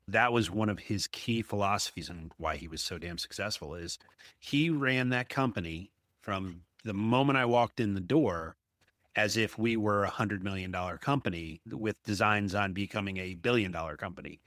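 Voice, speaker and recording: Low-voiced man hosting a business interview. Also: normal speed, fairly bright tone, no echo, studio quality. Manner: emphatic, anecdotal, frequent rhetorical "right?". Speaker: podcast host, apartment investor.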